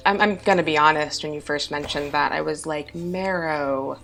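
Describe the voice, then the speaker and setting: A woman's voice: low voice, normal speed, creaky, over music. A woman chatting casually as a player on a podcast panel. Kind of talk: casual chat.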